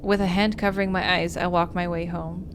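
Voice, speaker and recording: A woman with a slightly low voice streams a visual novel playthrough. She speaks like an expressive storyteller, stumbling a little as she reads. Some background noise and ambience sits behind her. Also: a little fast. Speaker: game streamer. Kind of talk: livestream playthrough, reading visual novel narration aloud.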